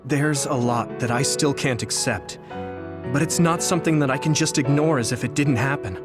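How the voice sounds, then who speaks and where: A man's voice: medium pitch, normal speed, music in the background, natural voice. An actor playing an earnest, thoughtful young male soldier hero in a video game, speaking sincerely and reflectively. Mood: reflective, resolute. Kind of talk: English video game voice acting, dramatic dialogue.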